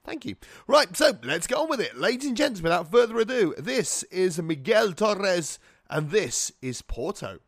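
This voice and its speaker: A man's voice: high voice, sing-song, normal speed, no room sound, studio quality. A man hosting a podcast, upbeat and jokey as he introduces the show and interviews a guest. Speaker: podcast host.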